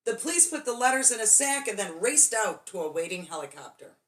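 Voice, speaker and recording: A woman's voice: mid-range voice, sing-song, brisk, fairly bright tone. A woman storyteller reading a children's bedtime story expressively, drawing out key words for dramatic emphasis.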